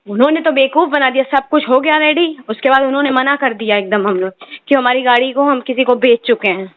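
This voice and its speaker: Woman customer, very high voice, pressing a grievance at length over a narrow phone line. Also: fairly quick, no echo. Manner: insistent, aggrieved, talks at length. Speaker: customer complaining to a car salesman. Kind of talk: phone complaint conversation. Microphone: phone call. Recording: noisy tinny phone line with clicks.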